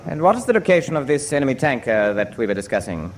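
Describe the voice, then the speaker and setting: An actor playing a suavely sceptical Nazi ministry official in a radio drama, precise, polite and insinuating. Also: male voice, mid-range voice, very animated, fast talker, lightly acted. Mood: sceptical, needling.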